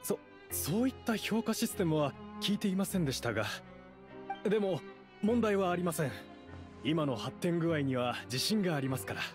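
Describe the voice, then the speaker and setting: Casting: male actor as an eager-to-please society chief in a game, highish voice, very courteous and formal. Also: animated, moderate pace, over music, close to an everyday voice. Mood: flustered, polite. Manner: formal, obsequiously polite. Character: deferential, smooth-talking society leader, eager to please the duke. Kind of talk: game dialogue acting.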